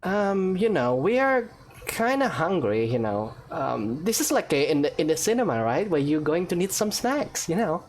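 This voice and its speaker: A woman's voice: fairly low pitch, sing-song, normal speed, a little mellow, slight background noise. A woman giving casual, joking commentary while playing a game, chatty and hesitant. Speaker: gameplay video creator.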